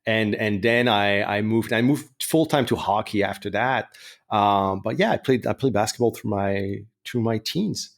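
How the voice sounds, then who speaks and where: A man's voice: lowish voice, normal speed. A middle-aged man with a French Canadian accent hosting a podcast, chatting casually and rambling with many restarts and fillers. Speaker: podcast host. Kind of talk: casual chat.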